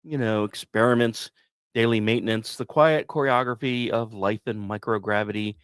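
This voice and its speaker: A man hosting a podcast, delivering scripted storytelling narration with dramatic emphasis and deliberate pauses. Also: fairly low pitch, fairly even intonation, clear, resonant tone, no echo, studio quality. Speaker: podcast host.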